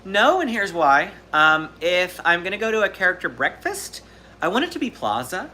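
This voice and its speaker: A man's voice: sits high, big pitch swings, normal speed, pushed and bright, slight background noise. A man in his thirties hosting a live question-and-answer stream, talking casually and rambling with fillers and restarts. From Southern California. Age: 30s. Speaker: livestream host.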